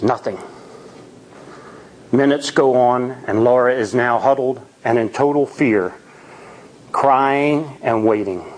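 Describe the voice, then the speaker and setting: A man telling a spooky tale live in a theatrical, suspenseful way, with deliberate dramatic pauses. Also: mid-range voice, low-quality recording. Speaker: storyteller at a Toastmasters tall tales event.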